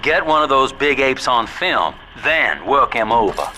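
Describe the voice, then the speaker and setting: An actor playing a folksy, sly middle-aged fixer in a video game, with a coaxing, colloquial manner. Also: male voice, medium pitch, big pitch swings, a little slow, pushed and bright, slight background noise, exaggerated voice, low-quality recording. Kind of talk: video game radio guidance.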